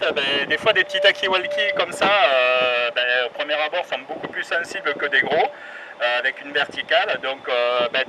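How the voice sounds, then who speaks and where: A man from France chatting casually and jovially in slang over radio as an amateur operator, outdoors. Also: high-pitched. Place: outdoors, high up in a city. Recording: noisy outdoor recording with traffic ambience.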